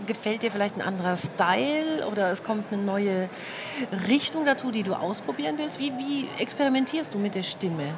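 A woman's voice: medium pitch, lively intonation, brisk, background noise. A woman interviewer asking a guest conversational questions at a fair. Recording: busy crowd behind.

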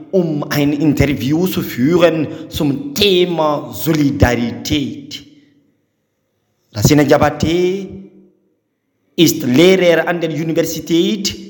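Male correspondent-host, slow and careful, somewhat high voice, reverberant sound. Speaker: foreign correspondent, radio presenter. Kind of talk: radio introduction in German. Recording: long reverb.

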